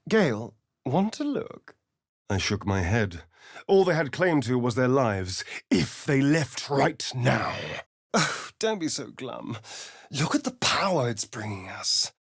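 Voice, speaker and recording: An actor playing a sly, vain vampire rogue in a video game, with an arch, sardonic, flamboyant delivery. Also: male voice, mid-range voice, very animated, slow, husky, dry recording, studio quality, exaggerated voice. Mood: tense, theatrical. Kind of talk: video game voice acting, dramatic lines and narration.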